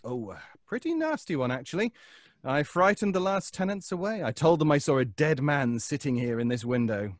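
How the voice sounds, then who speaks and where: A man acting a nervous, scheming fellow in an old-time radio suspense play, with a halting, stammering, pleading delivery. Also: a little high, very animated, dry recording. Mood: guarded, sly. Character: nervous, obsessive, scheming middle-class man.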